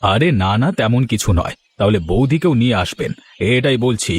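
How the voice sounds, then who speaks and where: A man voicing a genial, flattering village shop owner and husband in a cartoon. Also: medium pitch, brisk, natural voice. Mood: genial, grateful. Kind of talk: cartoon voice acting.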